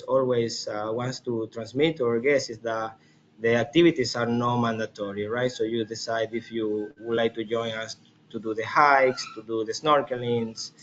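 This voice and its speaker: A man from Ecuador with an Ecuadorian Spanish accent, giving an informal slide presentation as an expedition guide, haltingly, often checking in with listeners. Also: medium pitch. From Galapagos Islands, Ecuador. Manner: halting, with frequent "right?" check-ins. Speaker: expedition leader and biologist presenting. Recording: dull webinar audio, faint noise.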